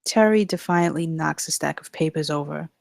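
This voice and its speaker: A woman's voice: deep voice, normal speed, gravelly, darkish tone, no room sound, clean studio sound. A woman narrating scripted stage directions at a live comedy table read.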